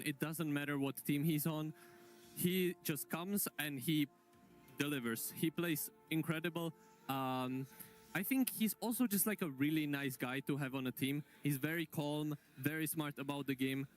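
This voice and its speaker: A man with a voice on the high side talks through esports analysis in a casual panel style. Light music plays behind him.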